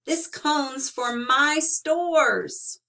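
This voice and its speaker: A woman voicing a greedy, excitable male squirrel who hoards food, exclaiming emphatically in a storybook read-aloud. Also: high-pitched, very slow, vocal fry, voice pushed up, exaggerated voice. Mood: combative.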